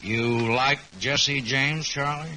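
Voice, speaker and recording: An actor playing a bold, folksy American sheriff of the Old West in a radio drama, clipped and confrontational. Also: male voice, medium pitch, fairly even intonation, slow pace, sharp tone. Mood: needling. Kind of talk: 1950s radio drama acting. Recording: hissy, noisy vintage radio recording.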